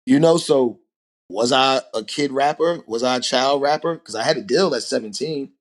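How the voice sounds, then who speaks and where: Animated New rapper in his forties. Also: mid-range voice, moderate pace. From Harlem, New York. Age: 40s. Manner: animated storytelling, rhetorical asides. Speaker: rapper guest. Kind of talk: casual podcast conversation.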